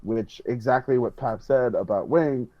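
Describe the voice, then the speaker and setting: A man's voice: mid-range voice, unhurried, dry recording. A man chatting casually as a podcast panelist, rambling and rephrasing as he goes. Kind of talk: casual hobby chat. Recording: dull, close, dry lo-fi voice track.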